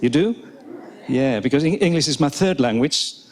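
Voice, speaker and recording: A man's voice: medium pitch, expressive, moderate pace, darkish tone. A man with a Finnish accent, a native Finnish and Swedish speaker, giving a chatty, hesitant keynote talk to a live audience and laughing often. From Finland. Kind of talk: conference talk. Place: conference hall with live audience.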